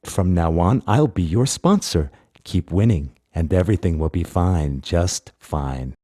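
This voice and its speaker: An actor playing a shady, smug sponsor in a video game, a man who makes calm, measured threats. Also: low-pitched. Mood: calm menace. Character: shady male crime-boss sponsor, smug, calm and menacing. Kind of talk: video game character dialogue.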